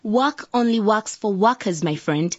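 A woman's voice: medium pitch, lively intonation, measured pace, breathy edge, dry recording. A woman playing a determined, earnest nineteen-year-old aspiring councillor in a radio drama, speaking with purpose. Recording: slightly dull lo-fi recording.

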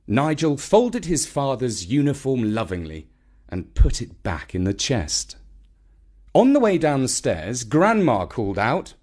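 A man with a British accent narrating a scripted children's story in a measured, expressive storytelling manner. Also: medium pitch.

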